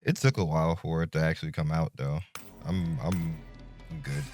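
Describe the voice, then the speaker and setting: A man hosting a gaming video, giving casual review commentary in a laid-back, colloquial, halting way. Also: very deep voice, lively intonation, vocal fry. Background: music.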